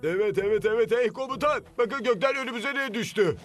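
A man voicing a dim, excitable bug henchman in a Turkish-dubbed cartoon. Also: very high voice, a little fast, faint music behind. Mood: excited.

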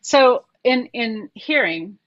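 A woman's voice: a little high, animated, slow, no echo, highs cut off. A woman presenting a talk over a video call, chatty and asking her listeners questions. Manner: conversational, asks the group questions.

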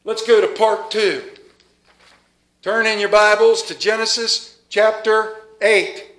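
Middle-aged male pastor, high voice, animated sermon delivery with pauses, small church sanctuary. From United States. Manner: animated, moves about the pulpit, dramatic pauses. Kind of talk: sermon with scripture reading. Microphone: stand mic at pulpit, far camera.

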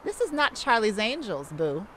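A woman's voice: mid-range voice, big pitch swings, normal speed, a bit bright, slight background noise, rough recording. A woman playing a cocky, flirty female cat burglar in an ASMR roleplay, with a teasing, sassy, theatrical delivery.

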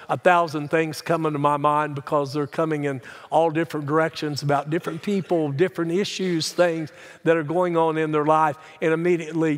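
An older man preaching a sermon as a pastor, earnest and deliberate, stressing key words and posing rhetorical questions. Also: fairly high pitch. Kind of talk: sermon, Bible teaching. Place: large church sanctuary, speaking from the pulpit. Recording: reverberant church hall sound.

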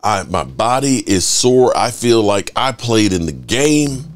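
A man in his thirties hosting a sports podcast from his home studio, slightly hoarse from yelling, talking in a fired-up, profane, drawn-out way into a desk microphone. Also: lowish voice. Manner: animated, profane, drawn-out delivery. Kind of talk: fired-up fan commentary. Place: home studio room full of memorabilia.

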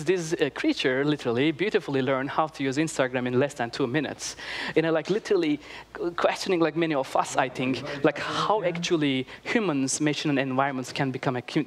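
Turkish-accented man, 30s, keen stage presenter, slightly high voice, headset mic. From Turkey. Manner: enthusiastic, many "like" fillers, loose non-native grammar. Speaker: media artist giving a talk. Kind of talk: conference presentation. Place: large theater stage.

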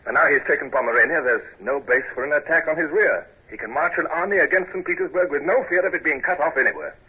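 An actor playing a serious, brooding British naval commodore, earnest and formal, in a 1950s radio drama. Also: male voice, a little high, fast, husky. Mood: formal, urgent. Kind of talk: 1950s radio drama acting and first-person narration. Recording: noisy, dull old radio recording.

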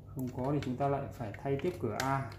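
Male repair presenter, casual and demonstrative, medium pitch, slightly noisy indoor recording. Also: fairly even intonation, fast. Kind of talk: casual hands-on repair explanation. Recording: background noise, room ambience.

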